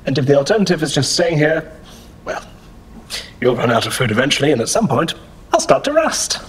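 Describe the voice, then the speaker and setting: A husky, deadpan android. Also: male voice, fairly high pitch, fairly quick. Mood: resigned. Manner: deadpan, precise. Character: male android, dry, wary, sardonic. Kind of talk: audio drama acting.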